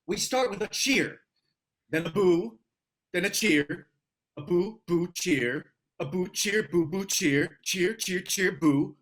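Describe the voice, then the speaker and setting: A vain orc soldier, played by an actor who argues stubbornly. The delivery is slow and snappish, with a medium pitch, in a comic fantasy read. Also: low-quality recording. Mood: insistent. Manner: insistent, snappish. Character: vain, argumentative handsome orc grunt, irritable. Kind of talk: fantasy comedy table read.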